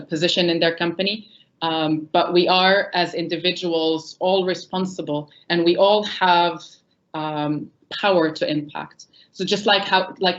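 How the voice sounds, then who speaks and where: A woman's voice: deep voice, highs cut off. A woman whose first language is not English, speaking earnestly on a panel with many ums and self-corrections. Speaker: panelist from a refugee employment nonprofit. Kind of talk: panel discussion remarks.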